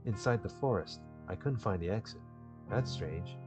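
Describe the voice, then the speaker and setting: A man's voice: on the low side, animated, normal speed, close to an everyday voice. A man playing the weary young male protagonist of a visual novel. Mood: puzzled. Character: young male protagonist, weary, mildly annoyed but forgiving. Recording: dull lo-fi mic with music behind.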